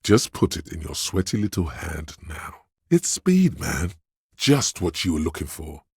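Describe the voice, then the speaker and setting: A sly hedonist craving voice for a game, super low and really raspy, tempting you slowly. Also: male voice, sing-song, darkish tone, no room sound, clean studio sound, full character voice. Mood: eager, tempting. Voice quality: gravelly, husky bass. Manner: sly, coaxing, savouring words. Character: seductive, hedonistic inner voice of drug and drink craving. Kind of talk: dramatic video game narration.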